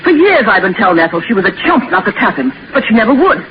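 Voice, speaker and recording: A woman's voice: mid-range voice, very animated, fast, natural voice. An actress playing a brassy, slangy woman crook in a 1940s radio drama. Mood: brassy, insistent. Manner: slangy, tough-talking. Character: brassy, hard-boiled woman crook, loyal gangster's moll. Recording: muffled, noisy old radio broadcast.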